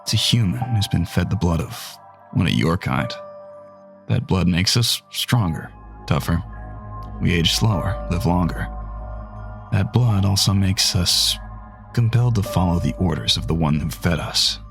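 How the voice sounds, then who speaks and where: A man's voice: deep voice, lively intonation, a little slow, gravelly, over music, heavily acted. A man playing a weary, guarded mortal gunman in an audio drama, blunt and world-weary in manner. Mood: matter-of-fact.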